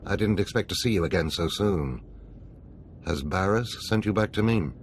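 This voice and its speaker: A man playing a dignified, grave overseer in a video game, with formal, measured phrasing. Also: sits low, soft background music. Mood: grave resignation. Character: grave, dignified Sith overseer, a resigned male mentor. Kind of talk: video game cutscene acting.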